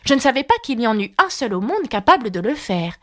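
A woman's voice: a little high, sing-song, fast, a bit bright. A woman voicing a polite, timid and curious young girl in a storybook reading. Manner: polite, earnest, anxious. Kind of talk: audiobook character voice.

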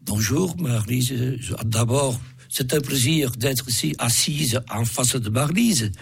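A man's voice: medium pitch, slow, creaky, breathy edge, no room sound, clean studio sound. A man with a cold, a radio interview guest who speaks hesitantly with many restarts. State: has a cold. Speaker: association president, food truck owner.

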